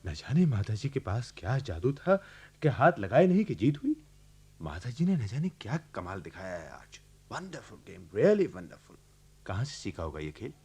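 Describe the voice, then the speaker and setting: A man's voice: medium pitch, very animated, normal speed, a little mellow, slightly rough, heavily acted. An actor playing an enthusiastic middle-class husband and father in a radio play, jovial and exclamatory. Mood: amazed. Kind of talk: Hindi radio play acting. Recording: dull, lo-fi archive radio recording.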